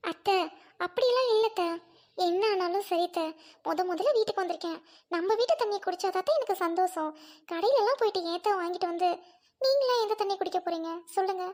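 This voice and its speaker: A woman voicing a humble young bride who speaks softly and politely to her new family. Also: very high-pitched, quick pace. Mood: soft, pleading. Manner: soft, polite, keeps addressing mother-in-law.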